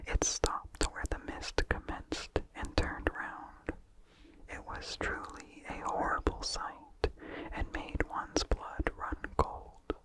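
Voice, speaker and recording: A narrator reading a vintage horror story entirely in a breathy, hushed ASMR whisper, with deliberate phrasing. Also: poor audio.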